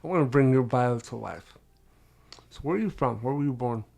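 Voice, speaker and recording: A man's voice: mid-range voice, lively intonation, brisk, creaky, warm tone. A man hosting a podcast interview, affirming his guest and asking probing questions. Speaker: podcast host.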